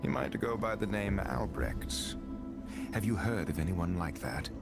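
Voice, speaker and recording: Video game hero, low voice, earnest, calm, firm, loud music underneath. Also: male voice, unhurried, creaky. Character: earnest, steady male adventurer seeking a one-eyed man. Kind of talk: video game dialogue acting.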